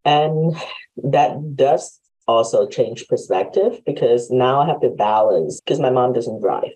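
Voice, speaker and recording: A woman's voice: rumbling low, animated, unhurried, warm tone, slightly rough, poor audio. A woman in her forties from Hong Kong hosting an interview, friendly and reflective, laughing often as she talks. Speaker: host. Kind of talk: casual interview chat. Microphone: video call.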